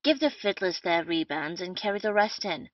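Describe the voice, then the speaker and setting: A woman's voice: fairly low pitch, lively intonation, normal speed, no room sound, close to an everyday voice. A woman playing a bustling, boastful, talkative male captain in an audio play of a period comedy. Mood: brisk, bossy. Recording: dull, lo-fi, dry audio.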